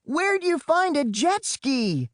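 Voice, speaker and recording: A man voicing an excitable young boy, an adventurous twin in a children's audiobook, hollering with melodramatic flair. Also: very high-pitched, slow pace, no echo, studio quality, pitched above the performer's own voice, heavily acted. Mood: incredulous. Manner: shouting, melodramatic.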